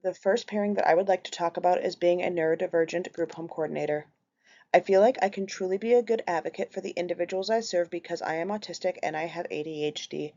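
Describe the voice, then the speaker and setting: A woman's voice: on the low side, fast, mellow. A woman in her thirties from Minnesota with a Minnesotan accent, earnestly reading a prepared class presentation. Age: 30s. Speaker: student presenter. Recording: slightly muffled lo-fi recording.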